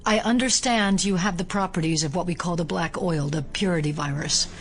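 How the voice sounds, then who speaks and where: A woman playing a wary, analytical investigator in an audio drama, measured and clinical. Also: lowish voice, slight background noise, lightly acted. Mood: wary, probing.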